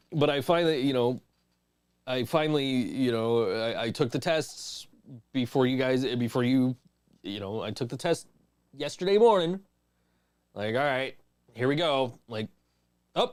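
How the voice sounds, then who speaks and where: A man's voice: mid-range voice, lively intonation, moderate pace, clean tone. A man in his forties hosting a podcast in casual chat, rambling and laughing often. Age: 40s. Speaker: podcast host.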